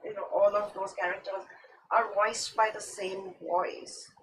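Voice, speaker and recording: A woman's voice: medium pitch, level tone, a little slow. A woman whose first language is Gujarati, speaking reflectively as an artist on a panel discussion. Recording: distant mic with room noise.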